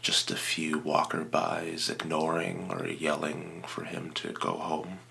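A man plays a reverent podcast character with an extremely low voice full of heavy fry. He speaks in halting, whispery phrases with a sharp edge, over noticeable background noise. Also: little pitch movement, exaggerated voice. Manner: halting, hushed, whispery delivery. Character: reverent, hushed small-town radio devotee and podcaster. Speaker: podcast guest and producer. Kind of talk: improvised comedy podcast character.

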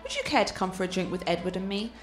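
Casting: actress as confident, cultured young fiancée, swooping lively intonation, medium pitch, articulate and teasing, radio play over music. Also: female voice, quick pace, natural voice. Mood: enthusiastic.

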